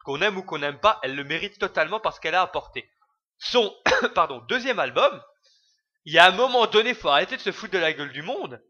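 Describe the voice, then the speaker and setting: A man's voice: high voice, animated, brisk, bright, forceful tone. Ranting young man, lo-fi sound. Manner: rambling, heated, crude slang, many fillers. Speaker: vlogger. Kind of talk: ranting video commentary.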